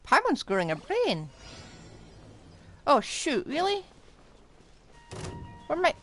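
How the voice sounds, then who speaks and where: A woman's voice: high voice, huge pitch swings, slow pace, a bit bright, slight background noise. A woman doing casual live commentary as a game streamer, wryly reacting aloud as she plays.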